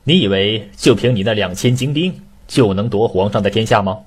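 A man's voice: fairly low pitch, brisk, husky. A man voicing a loyal, confident court commander, formal and scornful, in a declamatory Chinese costume radio drama. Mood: scornful triumph. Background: background music.

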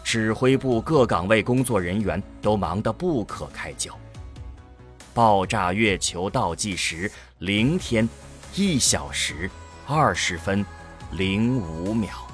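Slow, expressive male drama narrator. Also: on the low side, faint music behind. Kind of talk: scripted dramatic narration of a sci-fi audio drama.

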